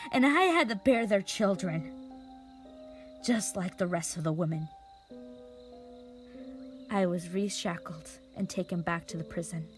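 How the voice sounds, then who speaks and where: A woman playing a traumatized, vengeful mage in a dramatic audio roleplay monologue, speaking with emotion in deliberate, halting phrases. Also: medium pitch, lightly acted. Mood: anguished outrage, then pained, bitter. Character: traumatized, vengeful female mage recounting her past. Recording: music bed behind the voice.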